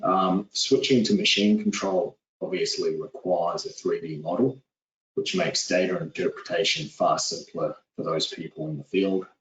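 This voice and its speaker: A man in his forties with a New Zealand accent, giving a steady, businesslike webinar presentation with frequent "uh"s over a call. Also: low-pitched, moderate pace, slight background noise. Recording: echoey, distant, dull lo-fi audio.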